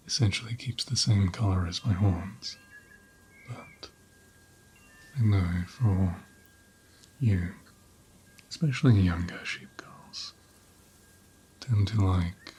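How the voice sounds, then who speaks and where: A man playing a gentle, patient ram caretaker in an ASMR roleplay, speaking softly and breathily, often in a whisper, close to the mic. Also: on the low side, slight background noise. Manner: unhurried, gently reassuring, attentive. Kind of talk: ASMR roleplay, soft personal attention. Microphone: close ASMR microphone.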